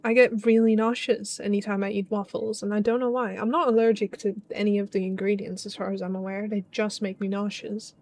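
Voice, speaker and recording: A woman's voice: medium pitch, flat delivery, brisk, hardly any pauses, darkish tone. A young woman from the Netherlands with a Dutch accent tinged with British and Scottish sounds, chatting casually with her stream chat while playing, with many ums and restarts. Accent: Dutch with British and Scottish traces. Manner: chatty, frequent ums, reads chat aloud.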